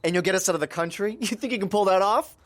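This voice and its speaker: A man's voice: high voice, quick pace, fairly bright tone, a little hiss or hum. An actor playing a tense, stubborn amateur kidnapper, curt, defiant and suspicious as he drives a hard bargain. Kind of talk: audio drama acting.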